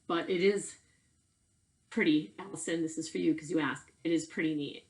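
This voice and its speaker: A woman's voice: low-pitched, steady tone, moderate pace, rough recording. A middle-aged woman chatting casually and reading a storybook to children in a conversational way. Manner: conversational, addressing young listeners with questions. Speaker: read-aloud storyteller for children. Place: indoors in a bedroom at home.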